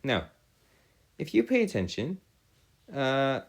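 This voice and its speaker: A man's voice: mid-range voice, huge pitch swings, slow. A man teaching a maths lesson as a tutor, in formal, wordy phrasing, often correcting himself as he works aloud. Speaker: math tutor. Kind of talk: video lesson working through calculus problems aloud.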